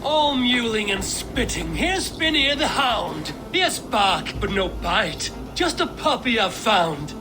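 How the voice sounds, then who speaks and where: A man's voice: sits high, big pitch swings, slow pace, over music, exaggerated voice. A man playing a wry, commanding Viking warrior leader with an assured, goading manner in a video game scene. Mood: mocking, coaching. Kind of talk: video game cutscene acting.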